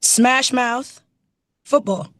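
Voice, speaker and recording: Raspy, hoarse woman coach; dry sound, keen and chatty. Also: fairly high pitch, drawn-out words, a bit bright, no room sound. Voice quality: naturally raspy voice. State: hoarse from yelling at practice. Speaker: running backs coach, guest. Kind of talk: casual interview.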